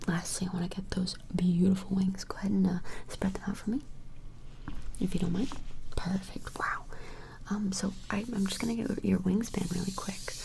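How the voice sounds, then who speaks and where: A woman whispering softly and gently in an ASMR personal-attention role play, hesitant and reassuring. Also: mid-range voice, little pitch movement, moderate pace, a little hiss or hum, low-quality recording. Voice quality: soft breathy whisper with fry. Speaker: ASMR performer playing an appraiser taking measurements.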